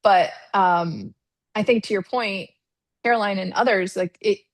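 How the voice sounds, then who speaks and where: A woman moderates an online lunch session in a loose, chatty way, leaning on fillers and quick laughs. Her voice sits mid-range and sounds close and dry. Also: mid-range voice, dry recording. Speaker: webinar host. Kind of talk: casual webinar moderating. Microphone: video call.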